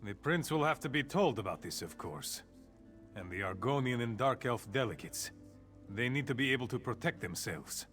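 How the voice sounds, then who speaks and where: Man voicing loyal game thane, earnest, weary, exasperated, lively intonation, faint music. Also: medium pitch, normal speed, natural voice. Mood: weary, concerned. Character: earnest, exasperated male Nord thane, loyal royal advisor. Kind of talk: video game character acting.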